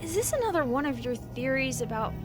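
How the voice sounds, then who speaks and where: A woman's voice: high-pitched, big pitch swings, brisk, over music. A woman voicing a skeptical, weary female pony roommate in an audio drama, sounding doubtful and exasperated. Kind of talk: fan audio drama acting.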